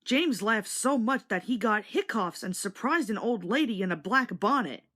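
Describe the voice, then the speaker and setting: Animated woman narrating a children's storybook. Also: fairly high pitch, normal speed. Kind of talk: scripted children's read-along narration.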